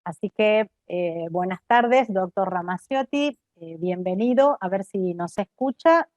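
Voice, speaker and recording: A woman's voice: mid-range voice, normal speed, darkish tone, no room sound. A woman with an Argentine Spanish accent coordinating a webinar, introducing the speaker formally with frequent hesitant fillers.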